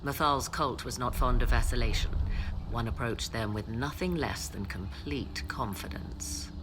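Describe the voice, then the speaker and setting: An actress playing a proud, guarded and ambitious sorceress in a fantasy game, speaking in formal, measured, haughty phrases. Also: female voice, extremely low pitch, noisy background. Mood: knowing, measured. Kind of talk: dramatic scripted game dialogue.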